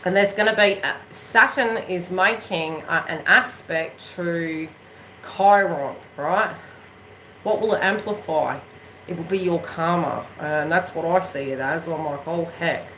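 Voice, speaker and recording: Bright, forceful New Zealand woman, lower-pitched. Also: unhurried, a little hiss or hum, poor audio. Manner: emphatic, rambling, often checking in with tag questions. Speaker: astrology reader and spiritual coach hosting her own channel. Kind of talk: casual unscripted chat to viewers.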